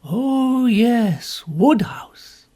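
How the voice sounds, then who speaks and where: A woman's voice: on the high side, lively intonation, very slow, mellow. A village vicar voiced by a woman in an audiobook. He is clever, casual and polite in his dialogue.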